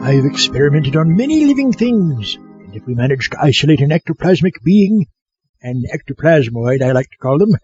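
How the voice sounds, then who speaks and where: Man playing morbid mad scientist, comedy audio drama, raised pitch, petulant, rambling, muffled sound. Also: sing-song, fairly quick, over music. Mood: gleefully morbid. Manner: fussy, rambling, sulky.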